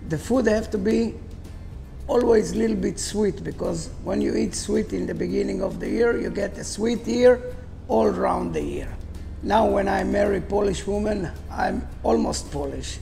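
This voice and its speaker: An older Israeli chef talking to camera in a restaurant, speaking halting, non-native English with broken grammar. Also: male voice, high voice, creaky, mellow. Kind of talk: casual talk to camera. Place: restaurant dining room, indoors.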